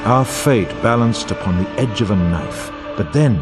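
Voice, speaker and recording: An actor playing a solemn, grave war chronicler, narrating a fantasy game in a grandiose, formal and portentous way. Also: male voice, fairly low pitch, normal speed, lightly acted. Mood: solemn, reverent. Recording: orchestral music bed under voice. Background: music.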